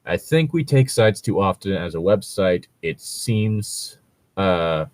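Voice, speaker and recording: Low-voiced man in casual, halting commentary. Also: animated, a little mellow, dry recording. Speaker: podcast host reacting to read-aloud Reddit posts.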